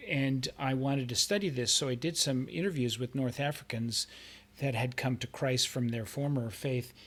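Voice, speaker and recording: A man's voice: medium pitch, moderate pace, a bit bright. A man in casual panel conversation, talking informally and checking that listeners agree. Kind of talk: casual chat.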